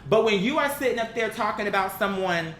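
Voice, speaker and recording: Blunt woman ranting her opinions, sweary and emphatic, sharp bright voice, bit of noise behind her. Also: medium pitch, animated, a little fast. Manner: blunt, exasperated, emphatic, profane; argues through rhetorical questions. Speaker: online commentator. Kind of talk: opinionated casual commentary. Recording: echoey room with faint background noise.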